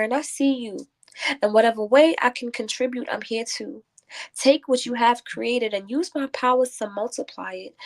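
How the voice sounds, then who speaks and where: High-voiced woman, spoken word poet. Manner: declamatory, rhyming delivery when reciting. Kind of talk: rhythmic spoken word poetry and casual talk.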